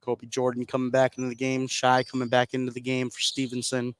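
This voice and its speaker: A man's voice: mid-range voice, monotone, brisk, clean tone, no echo. An American man giving live basketball analysis as a radio color commentator. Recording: noisy gym broadcast.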